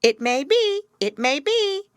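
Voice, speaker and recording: A woman's voice: very high voice, big pitch swings, slow pace, dry recording, pitched above the performer's own voice, full character voice. A woman voicing a grave, well-meaning talking raven in a storybook, earnestly explaining things. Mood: grave, cautious. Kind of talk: storybook character voice.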